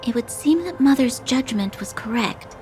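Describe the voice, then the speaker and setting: A woman's voice: fairly high pitch, lively intonation, moderate pace, a little mellow, lightly acted. A woman voicing a stern, dutiful young military commander in an anime game, formal and resolute. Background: game music.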